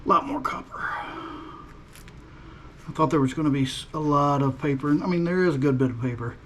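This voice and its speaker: A man's voice: a little high, a little fast, a little hiss or hum. A man giving casual, folksy hands-on commentary, half to himself, as he works as a hobbyist host. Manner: muttering to himself while working, folksy mild exclamations. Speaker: hobbyist host of a scrapping channel. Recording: loud clanking and clattering of metal tools. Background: metal clatter and clicks of dismantling work.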